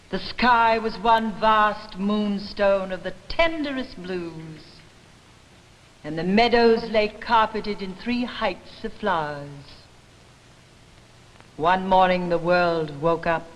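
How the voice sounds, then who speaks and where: A woman giving a staged poetry reading in deliberate, theatrical phrasing, recorded for a 1960s broadcast. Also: medium pitch. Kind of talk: dramatic poetry recitation. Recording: reverberant hall, 1960s broadcast.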